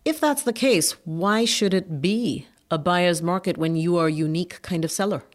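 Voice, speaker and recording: A woman's voice: lowish voice, moderate pace. Woman hosting a podcast interview. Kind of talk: conversational interview.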